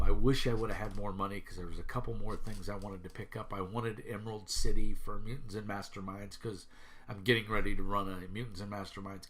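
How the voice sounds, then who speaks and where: A man's voice: lowish voice, sharp tone. A man in his thirties from Minnesota, stuffy with a head cold, rambling casually with many fillers as he reviews tabletop games for his channel.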